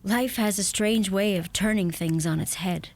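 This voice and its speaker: An actress playing a self-possessed, tender young bride-to-be in a radio play, speaking directly. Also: female voice, mid-range voice, moderate pace, close to an everyday voice. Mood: candid, tender.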